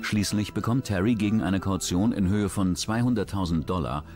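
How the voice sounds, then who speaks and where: Ein Mann spricht als Erzähler eine Dokumentation, ruhig und sachlich vorgetragen. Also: male voice, sits low. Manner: measured, sober narrating. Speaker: documentary narrator. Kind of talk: scripted documentary narration. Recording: voice-over with music underneath.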